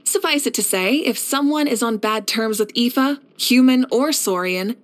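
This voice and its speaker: A woman voicing a steadfast, caring warrior leader in a video game, speaking in a measured, reflective and assured way. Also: fairly high pitch, lightly acted. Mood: calm, assured. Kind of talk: scripted video game character voice lines.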